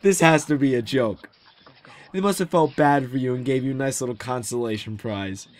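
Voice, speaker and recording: A cocky young male recruit, acted by a man in a fan-made audio drama. He has a medium-pitched voice and a brash, taunting way of talking. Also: moderate pace, lightly acted. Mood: mocking.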